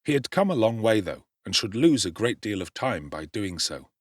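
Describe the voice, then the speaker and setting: Expressive low-voiced male audiobook narrator. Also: moderate pace, no echo, studio quality. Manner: expressive, theatrical delivery. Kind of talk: dramatic audiobook reading.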